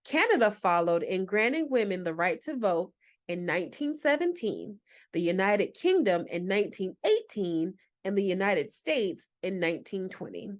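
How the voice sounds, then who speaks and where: A woman's voice: mid-range voice, big pitch swings, moderate pace. Woman reading a scripted minute segment. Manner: reads a prepared script evenly. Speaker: segment presenter.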